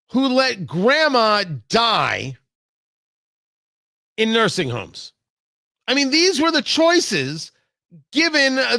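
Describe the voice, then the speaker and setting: American man, political talk radio host, bright forceful voice, close dry sound, emphatic, theatrical delivery. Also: very high-pitched, no echo. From New York and New Jersey, United States. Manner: emphatic, theatrical, repeats phrases for effect. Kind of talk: opinionated political commentary monologue.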